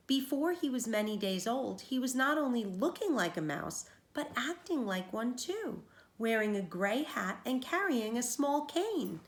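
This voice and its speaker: A woman with a slightly high voice reads a children's story aloud in a roomy, echoing space. She speaks as a teacher does to young pupils, explaining as she goes. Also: a bit bright. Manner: explanatory, addresses young listeners with questions. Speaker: teacher reading aloud to a class.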